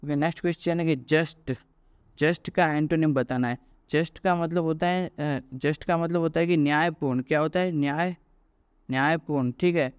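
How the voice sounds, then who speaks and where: Smooth-voiced male teacher drilling antonyms. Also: a little high, steady tone, no room sound, studio quality. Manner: asks and answers his own questions, pauses with fillers. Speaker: exam coaching teacher. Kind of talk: classroom lecture.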